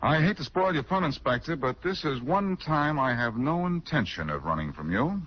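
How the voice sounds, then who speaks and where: An actor playing a breezy, wisecracking amateur sleuth in 1940s radio detective style, flippant and teasing. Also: male voice, fairly high pitch, very animated, moderate pace. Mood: flippant, unbothered. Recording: muffled, hissy 1940s radio recording.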